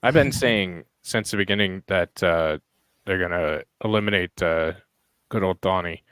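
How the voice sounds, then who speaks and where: A man with a hoarse, worn-out voice chatting casually as a podcast host, rambling and searching for names as he goes. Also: sits low, moderate pace, a bit bright, dry recording. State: hoarse, lost voice. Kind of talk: casual online chat.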